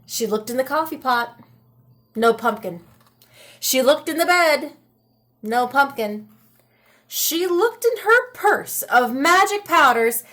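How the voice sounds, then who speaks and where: A woman reading a children's story aloud in a dramatic, expressive style with deliberate pauses. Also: high-pitched. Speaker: storybook reader. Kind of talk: animated children's read-aloud.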